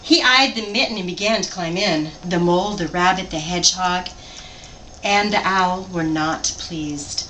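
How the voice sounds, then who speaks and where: Woman, slow storybook read-aloud, expressive, asks listeners questions, distant mic, faint noise. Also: lowish voice. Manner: expressive, pausing to ask simple questions. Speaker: storybook reader.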